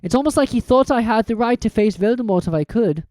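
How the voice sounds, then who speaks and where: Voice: medium pitch, lively intonation, fast, a little mellow, no echo, voice pushed up, close to an everyday voice. A reader voicing a thoughtful, brave young schoolboy who speaks earnestly.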